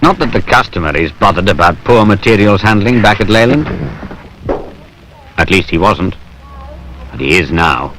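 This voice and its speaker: A man's voice: low-pitched, lively intonation, moderate pace, long pauses, a bit bright. A British man giving wry, colloquial, conversational narration for a film. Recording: noisy 1970s industrial film soundtrack.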